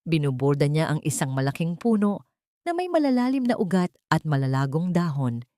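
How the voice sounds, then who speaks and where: Female audio drama narrator, lowish register, measured sentimental storytelling, dry recording. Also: very animated, warm tone, no echo.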